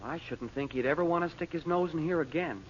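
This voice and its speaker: Man playing high-voiced, brash teenage boy. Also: quick pace, close to an everyday voice. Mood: indignant. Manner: boyish, slangy, exclamatory. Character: brash, slangy teenage son, male, cheeky and eager. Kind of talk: old-time radio adventure acting. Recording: dull, noisy old radio recording, distant.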